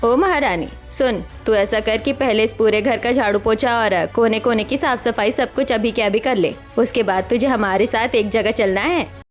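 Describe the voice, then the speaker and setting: A woman's voice: on the high side, normal speed, soft background music, close to an everyday voice. A woman playing a cruel, middle-aged mother-in-law in a cartoon story, scolding and giving commanding orders. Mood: bossy, scornful. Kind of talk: dramatic Hindi cartoon story acting.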